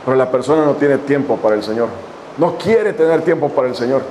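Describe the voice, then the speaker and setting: A man preaching a sermon as a pastor, reading scripture slowly and addressing his congregation with long pauses. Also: mid-range voice, animated, noisy background. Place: church hall.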